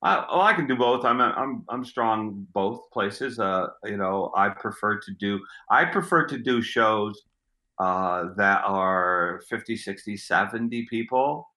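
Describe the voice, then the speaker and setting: A middle-aged man with a gruff voice, a street magician answering interview questions in a rambling way, often repeating words as he thinks. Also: fairly low pitch, lively intonation, a little slow, pushed and bright, roomy sound, poor audio.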